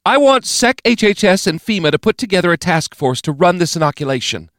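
A man's voice: high voice, very animated, brisk, a bit bright, no room sound, clean studio sound, pitched above the performer's own voice. A man voicing a stern, commanding woman president, giving clipped, decisive orders in an audiobook. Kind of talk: audiobook character voice.

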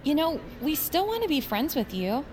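A woman's voice: sits high, very animated, normal speed, a little mellow, soft background music. A woman playing an earnest, friendly teenage activist girl in a naturalistic audio drama.

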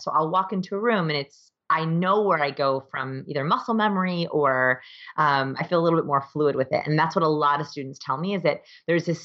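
A woman's voice: sits low, a bit bright, lo-fi sound. A woman giving conversational, explanatory interview answers as an expert guest, with emphatic delivery. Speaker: interview guest, author and behavior researcher.

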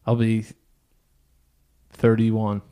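A man's voice: on the low side, completely flat delivery, very slow, mellow, smooth. A man of thirty co-hosting a podcast, chatting casually in a blunt, crude, joking way. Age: 30s. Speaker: podcast co-host. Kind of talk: casual chat.

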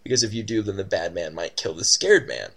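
A man narrates an interactive story game for his friends, reading each passage aloud before chatting about it. His voice is bright and a little gravelly, with lively, rising and falling pitch. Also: a little fast. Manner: reads text expressively, then chats.

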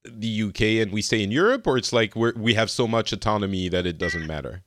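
A man's voice: fairly low pitch, lively intonation, fairly quick, a little hiss or hum. A man hosting a casual panel discussion, often searching for his words and chuckling. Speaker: podcast host moderating a panel.

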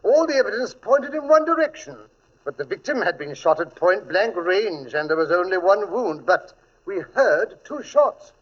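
An actor playing a genial, proud older English gentleman who tells stories in a fussy British manner for 1940s radio drama. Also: high voice, sing-song, moderate pace. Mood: confiding, relishing. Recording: muffled 1940s radio recording.

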